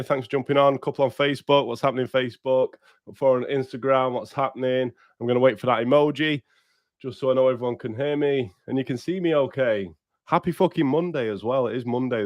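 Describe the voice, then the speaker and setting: A man's voice: mid-range voice, a little mellow, dry recording. A man hosting a casual livestream podcast while bunged up with a cold. He is blunt, sweary and upbeat, and talks straight to his viewers. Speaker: podcast host.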